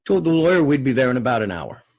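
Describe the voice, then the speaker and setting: A man acts out a genial, hefty small-town man in a television drama. He rambles in a folksy, reflective way. The voice is mid-pitched and slightly husky. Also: big pitch swings, fast, darkish tone. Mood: gentle, reflective. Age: middle-aged. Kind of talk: table read of television drama.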